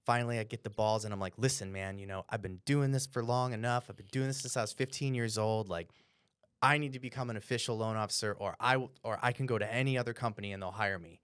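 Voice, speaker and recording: An American man from Cleveland telling stories casually on a podcast, animated and profane, with bro-like slang. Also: lowish voice, fast talker, smooth, no room sound, clean studio sound. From Cleveland, Ohio, United States. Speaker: podcast co-host. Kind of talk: casual storytelling.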